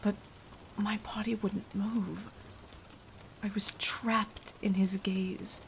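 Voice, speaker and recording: Woman as a slow-spoken, haunted vampire victim. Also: mid-range voice, little pitch movement, creaky, slight background noise, low-quality recording. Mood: fearful, haunted. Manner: hushed, dramatic, deliberate storytelling. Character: frightened, self-sacrificing young woman recounting a vampire attack. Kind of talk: ASMR roleplay narration.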